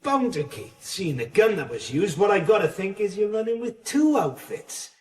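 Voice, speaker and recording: A controlled, distrustful male criminal in a radio crime drama. He questions with measured menace. The sound is very roomy and a little distant from the mic. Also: high voice, big pitch swings, darkish tone. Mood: suspicious. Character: suspicious, controlled male criminal backer, quietly threatening. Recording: echoey room, off-mic radio scene.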